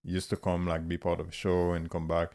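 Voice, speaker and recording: Very deep-voiced man. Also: completely flat delivery, vocal fry, a little mellow. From Haiti. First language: Haitian Creole. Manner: halting, searching for words. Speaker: guest artist. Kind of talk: casual conversation.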